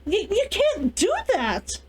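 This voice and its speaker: A woman's voice: very high-pitched, wildly swooping pitch, slow, pitched above the performer's own voice, full character voice. A woman voicing a proud, stubborn young heiress with indignant, clipped retorts in a storybook reading. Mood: shocked, indignant.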